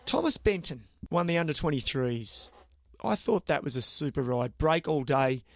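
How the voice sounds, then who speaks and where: A man's voice: fairly high pitch, lively intonation, normal speed, no echo. A man with an Australian accent, co-hosting a casual cycling chat, enthusiastic and upbeat, often repeating words as he thinks. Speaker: co-host; cycling commentator and former racer.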